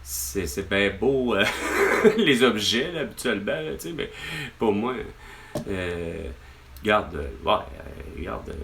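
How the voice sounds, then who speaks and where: A man's voice: lowish voice, sing-song, takes it slowly, vocal fry, noisy background. A man with a Québécois accent, venting to his mother on a phone call, rambling and exasperated, swearing often. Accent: Québécois French. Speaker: vlogger.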